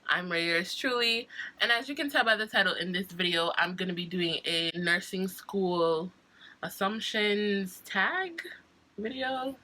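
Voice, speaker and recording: A woman's voice: medium pitch, measured pace, sharp tone, low-quality recording. A young woman with a cold, sounding stuffy, chatting casually to camera as a nursing student vlogger, with frequent laughs and restarts. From Jamaica.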